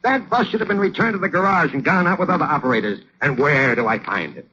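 An actor playing an irate, blustering boss with a husky voice in a 1940s radio sitcom. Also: male voice, fairly high pitch, animated, fast, sharp tone, heavily acted. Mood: angry, blustering. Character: irate, blustering male bus company boss. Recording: dull old radio recording.

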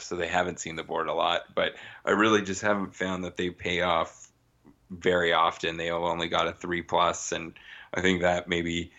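A man co-hosting a podcast, chatting casually in a halting way with many restarts, recorded on a slightly dull, lo-fi line. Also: sits low, fairly bright tone. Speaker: podcast co-host. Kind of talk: casual chat about a hobby.

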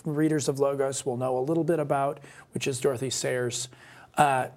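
A man hosting a conversational podcast, speaking in halting phrases and often rerouting his sentences. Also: medium pitch, darkish tone. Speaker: podcast co-host, professor.

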